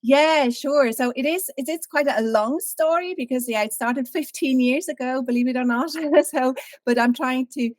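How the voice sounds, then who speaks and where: Interview guest, woman from Switzerland, 30s, animated storyteller, dry close sound. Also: sits high, normal speed, dry recording. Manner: candid, animated storytelling with frequent laughs.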